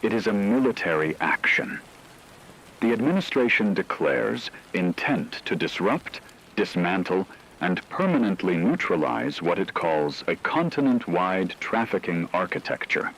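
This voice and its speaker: A man playing a solemn, deliberate news anchor in a scripted emergency radio broadcast. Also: low-pitched, very animated, a bit bright, heavily acted, low-quality recording. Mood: grave, ominous. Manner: solemn, deliberate, short emphatic sentences. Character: grave male radio news anchor of a fictional war.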